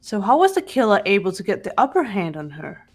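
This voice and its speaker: A mid-pitched female voice from a woman playing a part as a refined young detective in a mystery game. She is measured, cool and matter-of-fact, quietly in command. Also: sing-song, faint music behind. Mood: cool, pondering. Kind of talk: fan voice-over of visual novel game.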